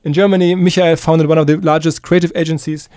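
A man hosting a podcast, giving a spoken introduction in a hesitant, halting way. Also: on the high side, level tone, a little mellow, dry recording, clean studio sound. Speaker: podcast host introducing the episode.

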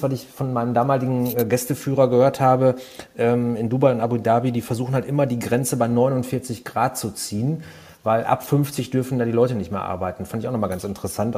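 Man, podcast co-host, mellow warm tone, enthusiastic, lots of fillers. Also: mid-range voice. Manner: enthusiastic, rambling with many fillers. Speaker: podcast co-host and company founder. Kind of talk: casual podcast chat.

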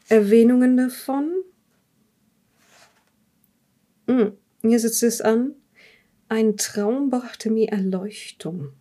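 A woman acting as a curious female investigator in an audio drama, with a soft, mellow voice. She speaks in a measured, thoughtful way, whether reading or questioning. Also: fairly high pitch. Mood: intrigued. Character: curious, probing female interviewer examining a dead man's journal. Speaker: interviewer in an audio-drama role-play. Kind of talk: improvised audio drama dialogue with journal reading.

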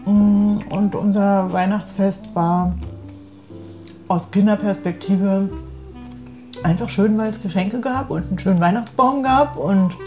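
A woman's voice: mid-range voice, a little slow, mellow, soft background music. A middle-aged woman from the former East Germany, talking casually and reminiscing as an interviewed friend. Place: kitchen.

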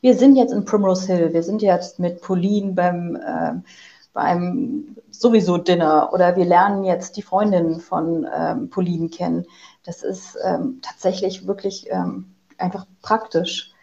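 A woman giving thoughtful interview answers as a writer, pausing to find her words. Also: lowish voice, fairly even intonation, warm tone, highs cut off. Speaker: interviewed audio drama writer.

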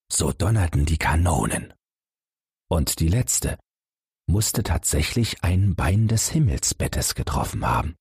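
Calm guy reading a bedtime fairy tale, slow and lively in pitch, dry close sound. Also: gravelly, husky, dry recording. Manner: calm, gently addressing children. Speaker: audiobook narrator.

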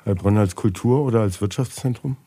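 A man's voice: on the low side, brisk, mellow, breathy edge. A man hosting a podcast interview, putting short either-or questions concisely and listening attentively.

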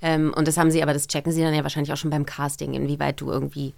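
A woman in her thirties talks easily and warmly about her voice work as an interview guest. Her voice is low with a gravelly edge. The sound is dry and close. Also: completely flat delivery, very fast, no echo. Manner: friendly, chatty, laughs easily. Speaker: voice actress, guest. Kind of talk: casual interview.

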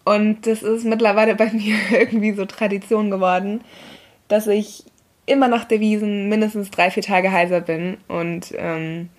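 Young female pharmacist-host, hoarse and scratchy, casual explainer with fillers. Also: medium pitch, steady tone, moderate pace, darkish tone. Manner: friendly, explains step by step with fillers. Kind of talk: casual explanatory podcast talk.

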